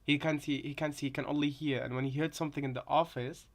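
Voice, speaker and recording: A man's voice: a little high, fairly even intonation, fast talker. A man in casual chat as a co-commentator, explaining things simply and hesitantly, often restarting and repeating his phrases.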